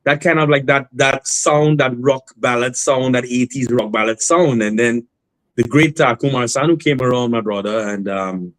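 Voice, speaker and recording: A man, a guest singer on a remote call, telling his story in a relaxed, rambling way with many fillers and restarts. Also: medium pitch, normal speed, no room sound. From Trinidad and Tobago. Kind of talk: casual interview storytelling.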